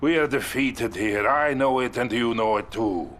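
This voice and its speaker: An actor playing a bitter, defiant Soviet colonel with a Russian accent in a video game drama. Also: male voice, medium pitch, normal speed, a bit bright, slight background noise, natural voice. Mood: bitter, firm.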